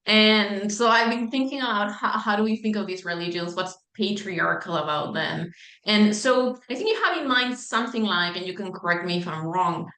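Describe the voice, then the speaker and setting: A woman's voice: medium pitch, lively intonation, normal speed, bright, forceful tone, roomy sound. A woman from Chile interviewing an author, thinking aloud and checking in with "right?" as she shapes her questions. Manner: thoughtful, conversational, asks with "right?" tags.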